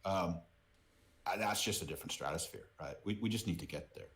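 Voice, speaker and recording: Deep-voiced middle-aged American man, halting. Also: a bit bright. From Boston, United States. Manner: halting, repeats words, often says "you know". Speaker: podcast guest, merchandise business executive. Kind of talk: casual interview talk.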